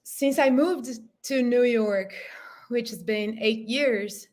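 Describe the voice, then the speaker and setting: Earnest Brazilian woman, slightly high voice. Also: slow pace, a little mellow. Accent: Brazilian Portuguese. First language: Portuguese. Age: 30s. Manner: emotional, earnest, searching for words. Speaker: panel guest. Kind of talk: impassioned panel discussion.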